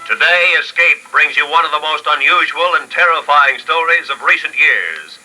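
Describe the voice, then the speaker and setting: A man's voice: on the high side, lively intonation, normal speed, sharp tone, soft background music, low-quality recording. A man announcing a 1950s radio drama in a formal, dramatic scripted style on a vintage recording.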